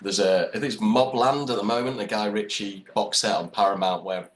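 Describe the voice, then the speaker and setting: A man's voice: on the low side, steady tone, a little fast. A man co-hosting a podcast, talking casually and rambling with the odd laugh. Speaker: podcast co-host. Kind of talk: casual chat.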